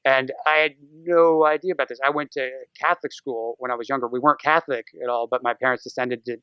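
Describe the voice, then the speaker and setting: A man's voice: mid-range voice, steady tone, quick pace, smooth, no room sound. A man talking casually as a podcast guest, telling stories in a whimsical, irreverent way. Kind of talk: casual humorous chat and storytelling. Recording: slightly dull, muffled lo-fi audio.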